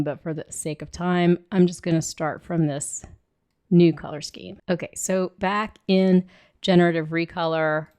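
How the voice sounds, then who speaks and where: Hoarse woman with a low voice. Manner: relaxed, thinking aloud while clicking. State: hoarse from a cold. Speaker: illustrator teaching software. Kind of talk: screen-recorded software tutorial.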